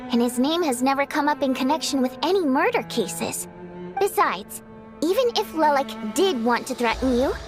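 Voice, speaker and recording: An actress playing a lively, chatty young woman in an anime-style video game, speaking expressively. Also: sits high. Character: lively young woman, secret member of underground group.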